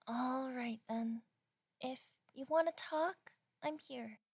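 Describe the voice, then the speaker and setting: A woman's voice: high-pitched, slow, slightly rough, heavily acted. An actress playing a sociable young woman, a casual and caring friend in an audio drama. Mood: concerned.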